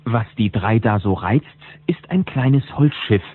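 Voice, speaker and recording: A man's voice: mid-range voice, animated, unhurried, slightly rough, phone-quality sound. A man narrating a German children's audio drama as a wry, knowing storyteller. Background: music.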